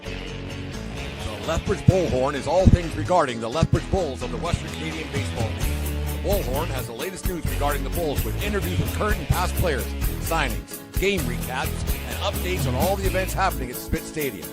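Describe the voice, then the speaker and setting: A man's voice: fairly high pitch, expressive, normal speed, low-quality recording. A man announcing a scripted sports show intro. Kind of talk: scripted promo narration. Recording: loud music under voice. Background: loud intro music.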